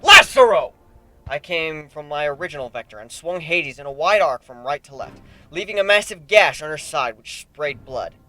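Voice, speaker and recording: A man giving an animated, theatrical dramatic reading of a first-person fan fiction story. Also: a little high, normal speed, no echo. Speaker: amateur story narrator. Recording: background music under the voice.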